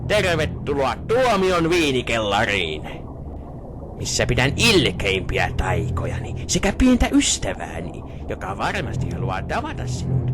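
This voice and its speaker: Faint music backs a man performing a wicked dark wizard. He is grandiose and petty, delivering villain talk with mock courtesy and a swinging pitch. It is cartoon parody fare. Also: huge pitch swings. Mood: gloating. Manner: grandiose, mock-polite, gloating. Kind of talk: comic cartoon parody fan dub.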